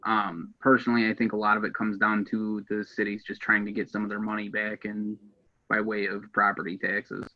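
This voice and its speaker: A man's voice: fairly low pitch, completely flat delivery, fairly quick. An American man giving casual interview answers as a podcast guest, earnest and a little hesitant. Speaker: police dispatcher, podcast guest. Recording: dull lo-fi sound.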